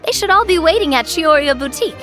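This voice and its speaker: An actress playing a playful, slightly proud young woman in a video game, theatrical and breezy with expressive delivery. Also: very high-pitched, quick pace, exaggerated voice. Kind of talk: animated video game voice acting. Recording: faint music behind.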